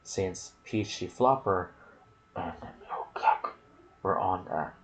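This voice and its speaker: A soft-spoken man narrating video game lore in an ASMR style, with a hushed, deliberate delivery in short phrases. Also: low voice. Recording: clear background noise.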